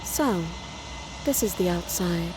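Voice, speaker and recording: A woman voicing an eerily calm, unsettling young princess in a horror game, composed and gently knowing. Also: lowish voice, takes it slowly, background noise. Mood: gently pleased. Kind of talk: video game voice acting.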